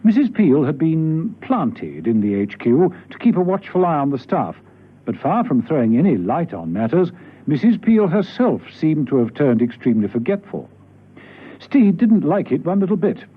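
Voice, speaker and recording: Animated male radio narrator, husky, old broadcast sound. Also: fairly high pitch, moderate pace. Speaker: narrator of a radio spy serial. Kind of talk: dramatic scripted radio narration. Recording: old radio broadcast, phone-like band, faint music.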